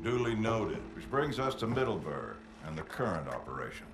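An actor playing an authoritative, composed male wartime commander in a game, briefing formally and deliberately. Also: lowish voice, very animated, noisy background. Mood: firm, formal. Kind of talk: game cutscene acting.

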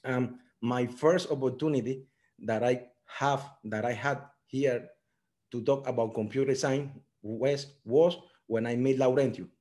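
Cuban-accented man, mellow, earnest learner English. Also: medium pitch, fairly even intonation, a little slow. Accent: Cuban Spanish. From Cuba. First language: Spanish. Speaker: software engineer guest. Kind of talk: online panel talk. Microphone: video call.

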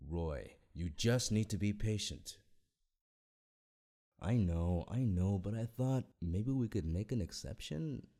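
A man acts out a grown superhero mentor in a cartoon. He sounds confident and easygoing, with a fairly low voice. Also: expressive, takes it slowly, warm tone, heavily acted. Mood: placating. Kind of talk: cartoon fandub acting.

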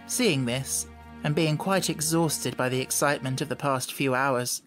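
A man's voice: medium pitch, unhurried, fairly bright tone, soft background music. Guy reading a kids audiobook, storybook narration, scripted.

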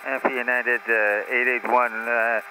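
A male airline pilot speaks in short, formulaic radio calls. His voice sits at a middle pitch, heard through an aircraft radio with clear noise around it. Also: level tone, a little slow. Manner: clipped, formulaic phrasing. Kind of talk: radio callouts to air traffic control. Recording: noisy aircraft radio transmission.

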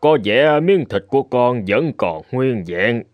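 A solemn, calm old temple abbot. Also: male voice, medium pitch, dull audio. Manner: measured, solemn, teacherly. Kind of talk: cartoon folk-tale dubbing. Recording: music under the voice.

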